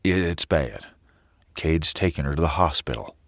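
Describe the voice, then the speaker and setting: Actor as a grave, deep-voiced man in charge. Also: expressive, a little slow, a bit bright, no echo, clean studio sound, full character voice. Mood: grave, urgent. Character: grave man in charge, reporting bad news. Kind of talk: audio drama acting.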